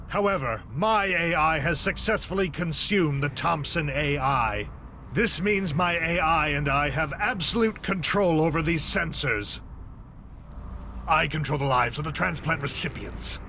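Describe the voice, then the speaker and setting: An actor playing a grieving, zealous AI researcher turned hostage-taker in a video game cutscene, delivering grandiose speeches. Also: male voice, fairly high pitch, sharp tone, a little hiss or hum. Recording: music behind the voice.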